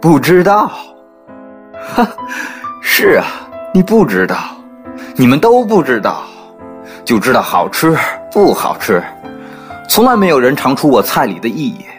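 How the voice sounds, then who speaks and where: A man's voice: medium pitch, big pitch swings, a little slow, pauses often, faint music behind. A man acting as a stern, moody, embittered head chef in an audio drama. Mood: bitter outburst.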